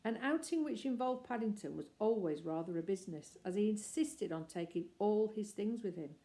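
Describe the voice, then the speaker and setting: Mellow woman reading a children's book. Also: medium pitch, fairly quick. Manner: gentle and expressive. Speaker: reader.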